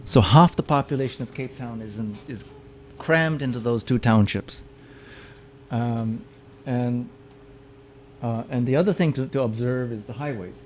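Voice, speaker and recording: A man speaking to a room at an ordinary middle pitch, talking through slides in a halting way. He often repeats a word or two before going on. Faint background noise sits under the recording. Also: darkish tone. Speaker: lecturer. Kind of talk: public lecture with slides. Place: meeting room with audience.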